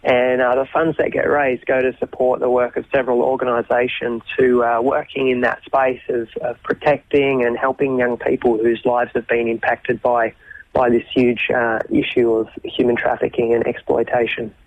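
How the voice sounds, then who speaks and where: Casual 40-something Australian guest on a radio interview, reflective, lots of restarts, phone-line sound. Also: male voice, mid-range voice, flat delivery, moderate pace. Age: 40s. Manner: thoughtful, many ums and restarts. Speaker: interview guest, youth minister.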